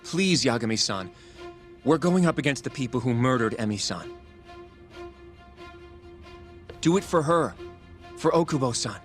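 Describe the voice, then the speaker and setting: A man's voice: medium pitch, lively intonation, normal speed, faint music behind, lightly acted. A man voicing an eager, earnest, easily flustered young junior lawyer in an English game dub. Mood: pleading. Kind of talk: dubbed video game cutscene acting.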